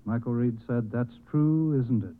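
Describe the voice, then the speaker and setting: A man's voice: mid-range voice, measured pace. Man on thin, tinny vintage radio. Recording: old radio broadcast, thin phone-like band.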